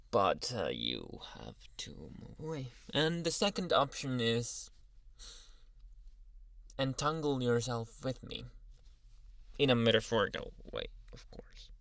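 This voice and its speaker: A man voicing a dominant but awkwardly polite alpha male werewolf in an intimate, halting ASMR roleplay, with sighs and murmured asides. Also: mid-range voice, gravelly, fairly bright tone, no room sound, studio quality, full character voice. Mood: awkward, apologetic. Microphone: close, dry microphone.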